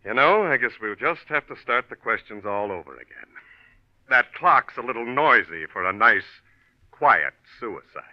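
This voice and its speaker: A man playing a shrewd, knowing police detective in a 1940s radio drama, speaking in an insinuating, deliberate way. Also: medium pitch, very animated, natural voice. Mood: knowing, probing. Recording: dull vintage radio recording.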